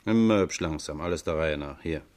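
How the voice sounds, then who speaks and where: An actor playing a gruff, methodical, sardonic police inspector, dry and stern, in a 1950s German radio crime drama. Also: male voice, extremely low pitch, a little fast, darkish tone. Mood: dry, impatient.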